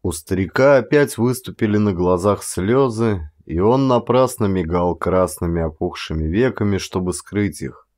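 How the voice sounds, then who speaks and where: Low-pitched male audiobook narrator. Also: normal speed, few pauses, a little mellow. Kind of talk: dramatic literary audiobook narration.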